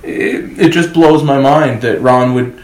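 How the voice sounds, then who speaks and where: A man's voice: mid-range voice, unhurried. Man in an echoey room, emphatic talker. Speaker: podcast host. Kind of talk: opinionated casual discussion. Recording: roomy, echoing podcast recording.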